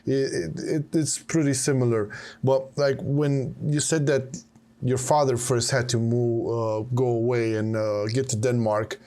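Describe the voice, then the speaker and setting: Guy from romania hosting a podcast, mid-pitch voice, asks stuff slow with lots of ums. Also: little pitch movement, dark tone. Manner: curious, halting questions with fillers. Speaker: podcast host. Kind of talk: casual podcast interview.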